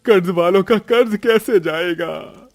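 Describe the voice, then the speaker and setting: A man's voice: high voice, unhurried, no room sound, clean studio sound. A man voicing a poor, middle-aged farmer and father in a village story, kindly, earnest and lamenting. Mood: despairing.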